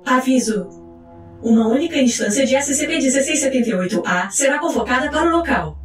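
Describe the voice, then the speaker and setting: High-voiced formal woman narrator, echoey. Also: expressive, a little fast. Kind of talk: scripted documentary-style narration of fiction. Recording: roomy echo, faint music behind. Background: faint music.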